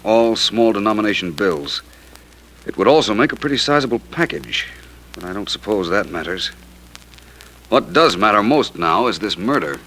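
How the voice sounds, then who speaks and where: Vintage radio drama, cool gentleman sleuth, lowish expressive voice, urbane, dry, unhurried. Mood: coolly probing. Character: suave, unruffled gentleman detective. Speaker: lead actor in a detective radio drama. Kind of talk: 1940s radio acting. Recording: slightly noisy vintage radio recording.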